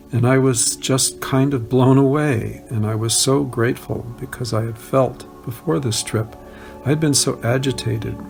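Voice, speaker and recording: A man reads personal recollections in the first person. His medium-pitched voice has a clear gravelly creak. Soft music runs underneath the narration. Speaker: reader of written testimonials.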